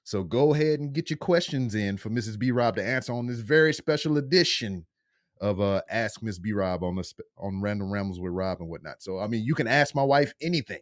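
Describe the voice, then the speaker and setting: A relaxed man with a deep voice hosts a casual podcast interview. He talks in a loose, slangy way, laughs easily, and his pitch moves around a lot. The sound is dry and close. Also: a little fast, no room sound. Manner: laid-back, slangy, laughs often. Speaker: podcast host.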